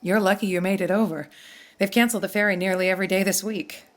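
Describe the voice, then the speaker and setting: A woman's voice: mid-range voice, fairly even intonation, quick pace, natural voice. An actress playing a practical, kindly guesthouse keeper and mother in an audio drama, with matter-of-fact, obliging, naturalistic delivery. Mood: businesslike. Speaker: guesthouse landlady.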